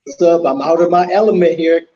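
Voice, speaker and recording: A man's voice: fairly high pitch, a little fast, warm tone. A man co-hosting a livestream, giving his opinions in casual chat. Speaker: regular co-host. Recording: dull lo-fi stream audio.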